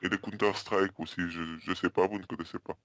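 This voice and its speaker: A man playing an earnest, muddled hotel guest on a prank phone call, hesitant, repetitive and politely deadpan. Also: low voice, a little fast, no room sound. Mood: deadpan, rambling. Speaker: prank caller.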